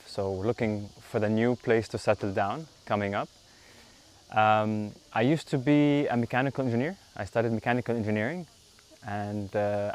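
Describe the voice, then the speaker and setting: A man in his thirties from Lebanon, a yoga teacher, answering interview questions outdoors on a lapel mic in an unhurried, thoughtful way with frequent fillers. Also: fairly low pitch. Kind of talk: casual interview. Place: outdoors in a bamboo grove.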